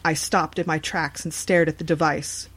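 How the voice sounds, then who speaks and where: A woman with a slightly low voice narrates a horror story in the first person. Her delivery is scripted storytelling, set at an ordinary pace. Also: level tone, moderate pace, husky.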